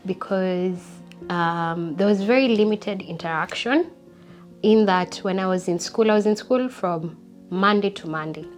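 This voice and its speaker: A young woman telling her life story in an interview, reflective and unhurried, often repeating words for emphasis. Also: fairly low pitch. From Kenya. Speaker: interview guest.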